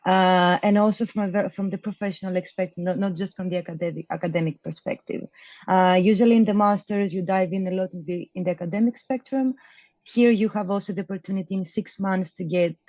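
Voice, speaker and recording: A woman's voice: mid-range voice, steady tone, a little fast, no room sound, lo-fi sound. Young woman, hesitant interview answers. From Greece. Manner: loose and hesitant, many fillers and restarts. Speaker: program graduate guest.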